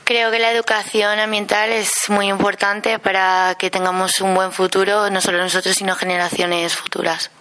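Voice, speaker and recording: A young adult woman from Palma de Mallorca, a summer camp trainee talking conversationally with filler pauses. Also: medium pitch, little pitch movement, pushed and bright.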